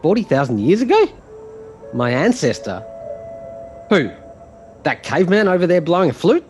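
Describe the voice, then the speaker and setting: An actor playing a bewildered, skeptical company boss in an audio musical drama, questioning everything incredulously. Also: male voice, a little high, very animated, moderate pace, a little mellow, faint music behind.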